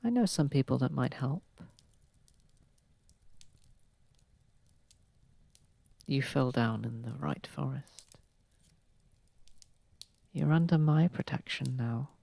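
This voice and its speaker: An actor voicing a posh, gentle, protective vampire lord in an ASMR roleplay, soft-spoken, husky and unhurried, close to the microphone. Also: medium pitch, lively intonation, no echo. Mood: tender, courteous. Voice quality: breathy, husky, slightly creaky. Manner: soft-spoken, soothing, unhurried, slightly formal. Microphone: close microphone, dry.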